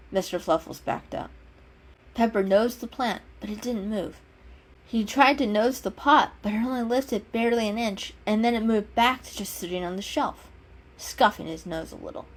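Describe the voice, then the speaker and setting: A woman narrating a children's animal story as an expressive dramatic reading. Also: a little high. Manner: expressive, acts out the dialogue. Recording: music under the voice. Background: background music.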